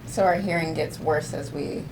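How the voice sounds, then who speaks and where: A woman's voice: low voice, normal speed. A woman casually asking simple questions as an interviewer in a conversational exchange. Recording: clear background noise.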